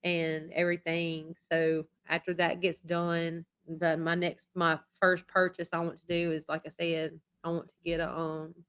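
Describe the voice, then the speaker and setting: Woman, low voice, little pitch movement, rambling casual live chat, voice wearing out. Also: completely flat delivery, measured pace, few pauses, a bit bright, clear, resonant tone. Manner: rambling and repetitive. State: losing her voice after being sick. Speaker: YouTube channel host.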